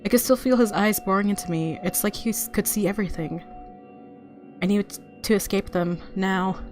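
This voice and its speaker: A woman's voice: mid-range voice, normal speed. A woman hosting a gaming video, reading visual novel narration aloud expressively and reacting casually. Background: game music.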